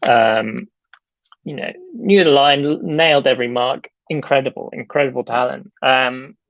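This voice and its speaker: A young man answers interview questions over a video call, halting and full of fillers and restarts. His voice has a gravelly edge. The sound is dry and a little dull. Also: medium pitch, no echo. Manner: hesitant, many fillers and restarts. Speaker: film director.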